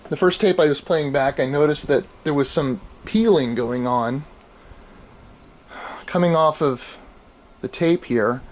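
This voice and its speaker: A middle-aged American man gives a casual, unscripted show-and-tell, thinking aloud in a halting, explanatory way. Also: a little high. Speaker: amateur audio archivist showing his tapes. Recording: clearly noisy home video, room ambience.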